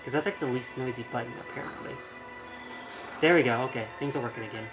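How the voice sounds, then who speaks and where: A man giving casual, halting live commentary as he streams a video game, often repeating his words. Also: medium pitch, over music.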